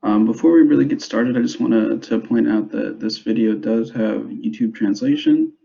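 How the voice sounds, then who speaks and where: A young man who grew up in the United States, giving an informal presentation to parents in a hesitant, halting way, on a dull, muffled recording. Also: mid-range voice, very animated, gravelly, warm tone. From grew up in the United States, born in El Salvador. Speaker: school substance abuse prevention specialist.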